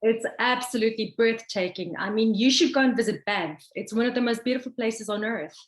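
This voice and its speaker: A woman's voice: fairly high pitch, brisk, roomy sound. A South African woman from Pretoria, in her thirties, talking as an interview guest in a confident, upbeat way. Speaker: expat guest. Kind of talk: casual interview chat.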